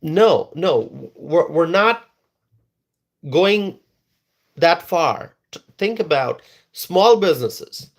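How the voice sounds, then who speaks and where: A man's voice: on the high side, animated, very slow, creaky, pauses often. A man whose first language is Bengali, giving an emphatic political opinion monologue and repeating words for stress. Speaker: political commentator.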